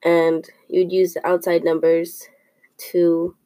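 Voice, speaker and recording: Young woman, sniffly student podcaster; low, slow, careful, thinks aloud. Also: completely flat delivery, warm tone, no room sound. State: sniffly, slightly congested. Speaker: student podcaster explaining math. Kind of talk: informal classroom podcast explanation.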